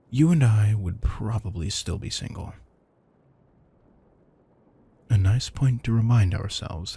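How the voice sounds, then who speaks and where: A man's voice: on the low side, creaky, dark tone, breathy edge, exaggerated voice. A man playing an affectionate, theatrical retired supervillain turned doting house husband, teasing and mock-grandiose in an intimate audio roleplay. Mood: playful, competitive.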